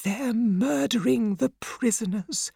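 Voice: mid-range voice, takes it slowly, creaky, mellow, breathy edge, no room sound, clean studio sound, pitched above the performer's own voice, exaggerated voice. A narrator playing an anxious elderly English banker with an urgent, dramatic manner.

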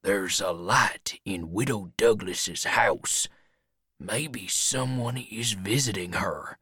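Someone acting out a hushed, menacing storybook outlaw. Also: very animated, drawn-out words, slightly rough, no echo, clean studio sound, exaggerated voice. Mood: cold menace. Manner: low, hushed, dark menace. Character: menacing vengeful male outlaw, quiet and threatening.

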